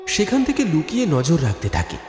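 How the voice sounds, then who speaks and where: A man's voice: on the high side, huge pitch swings, fairly quick, darkish tone. A man narrating a horror cartoon story in a dramatic, scripted storytelling style. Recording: echoey studio reverb, music behind. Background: music.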